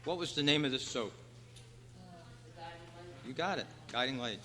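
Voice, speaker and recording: A man's voice: medium pitch, lively intonation, normal speed, pushed and bright. A man hosting a live quiz and making club announcements to a room of people. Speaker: quiz host at a club meeting. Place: large meeting room at a convention. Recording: echoey hall, long reverb.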